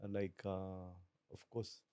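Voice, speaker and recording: Kenyan guy, kenyan accent, low dark voice, dry close sound, calm and thoughtful. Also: clean tone, no echo. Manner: measured, reflective, mixes in Swahili. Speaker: guest, business owner. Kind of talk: relaxed interview talk.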